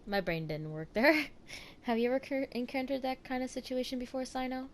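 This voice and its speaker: A woman's voice: high-pitched, fairly quick, slight background noise. A woman streamer casually reacting to a video game, talking in an informal, offhand way. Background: faint game music.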